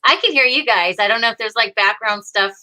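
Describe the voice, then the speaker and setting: A woman guest chatting casually and haltingly on a video call, informal and a little self-deprecating. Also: a little high, a crowd in the background. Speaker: guest performer on the show.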